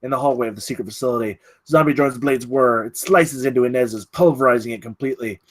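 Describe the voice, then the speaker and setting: A man narrates screenplay action in short, clipped phrases. His pitch sits in an average male range, and he gives the stage directions a dramatic push. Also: fast talker. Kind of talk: scripted narration at a screenplay table read.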